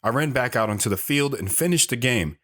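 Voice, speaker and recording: A man's voice: mid-range voice, expressive, fairly quick, fairly bright tone. A man narrating his own past as a scripted drama, telling it plainly while looking back.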